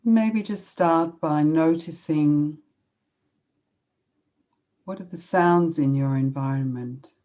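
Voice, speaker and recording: Slow, soothing Australian woman. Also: deep voice, mellow, dull audio. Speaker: guest speaker. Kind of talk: guided meditation.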